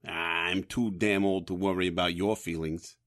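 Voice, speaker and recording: A man's voice: sits low, fairly even intonation, moderate pace, fairly bright tone. An actor playing a blunt, no-nonsense older man, a loyal prodding friend in an audio drama. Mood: blunt, urging.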